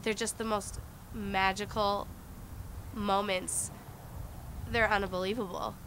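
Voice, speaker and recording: A woman's voice: medium pitch, steady tone, slow pace. Young woman, enthusiastic, faint noise. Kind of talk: documentary interview.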